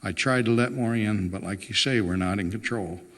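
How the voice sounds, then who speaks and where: A man's voice: lowish voice, normal speed, in a large hall, close to an everyday voice. A man voicing a reluctant, plainspoken husband in a storybook reading, stubborn, wry and resigned. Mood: resigned, tender.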